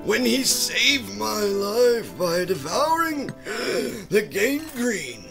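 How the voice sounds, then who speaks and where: Radio-play villainous old aristocrat: very high, swooping voice, haughty, bitter, frail. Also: male voice, very animated, very slow, gravelly, over music, exaggerated voice. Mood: dramatic, bitter. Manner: haughty, sputtering, theatrical. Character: sick, bitter, imperious old rich man near death. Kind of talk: comic radio-play acting.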